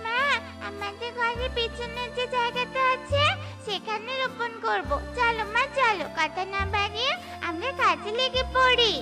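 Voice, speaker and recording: A woman's voice: very high-pitched, unhurried, over music. A woman voicing an eager, innocent little girl bird in a cartoon, childlike and chirpy. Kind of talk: cartoon voice acting.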